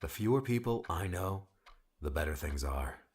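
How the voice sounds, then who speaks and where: Casting: male actor as cold, weary guardian for audiobook drama, extremely low voice, terse and dismissive. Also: lively intonation, moderate pace, slightly rough. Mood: guarded, matter-of-fact. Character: curt, aloof male guardian, a weary loner.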